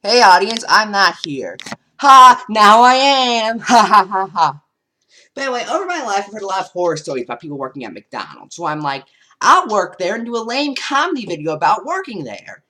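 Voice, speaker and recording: Jokey teen boy, lively high voice. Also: brisk. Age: teens. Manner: rambling, jokey, acts out scenes while moving around. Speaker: vlogger. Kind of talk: comedic parody storytelling. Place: small basement room, indoors. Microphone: built-in webcam mic across the room. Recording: roomy and distant.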